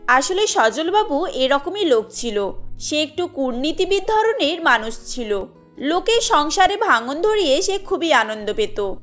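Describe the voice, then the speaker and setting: A woman's voice: sits high, very animated, a little slow, fairly bright tone, soft background music. A woman narrating a cartoon family tale in a scripted storybook style.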